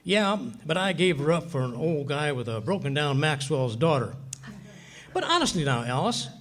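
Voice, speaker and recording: A man's voice: fairly high pitch, very animated, normal speed, a bit bright. A man acting a cheeky, boastful, stubborn husband in a 1940s radio comedy, with a folksy, slangy way of talking. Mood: wry.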